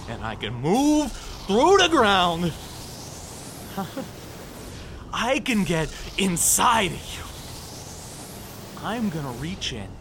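A man playing a sadistic, gloating supernatural killer in a pulp audio drama, taunting with menace and drawn-out words. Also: high-pitched, wildly swooping pitch, a bit bright, heavily acted. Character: sadistic gloating supernatural male killer who fades through walls. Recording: clear background noise.

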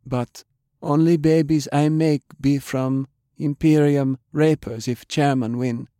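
A man voicing a small, quietly determined foreign pirate woman with a tiny voice and halting broken English in an audiobook. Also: on the high side, steady tone, no echo, studio quality, pitched above the performer's own voice. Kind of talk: audiobook character acting.

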